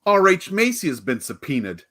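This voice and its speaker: An actor playing a combative, exasperated prosecutor in a 1940s-style radio play, indignant and emphatic. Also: male voice, sits high, big pitch swings, normal speed, pushed and bright, heavily acted. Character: exasperated, combative male prosecutor, family man.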